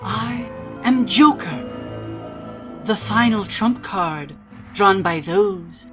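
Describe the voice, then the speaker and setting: A woman's voice: medium pitch, lively intonation, very slow. A woman playing a coldly mocking, vengeful masked villain in a dramatic video game monologue reading. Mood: cold, ominous. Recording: music behind the voice.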